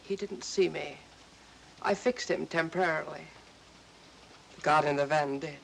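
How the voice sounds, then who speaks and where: Creaky-voiced, uneasy crime henchman. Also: on the high side, unhurried, fairly bright tone. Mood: matter-of-fact. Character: nervous male henchman and killer, wary, grasping. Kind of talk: 1940s film thriller acting. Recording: noisy, aged film soundtrack.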